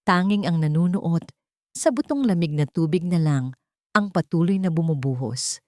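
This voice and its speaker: A woman with a low voice reads a scripted drama as narrator. The recording is dry, with very little room sound. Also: expressive, moderate pace, darkish tone, no echo. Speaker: story narrator.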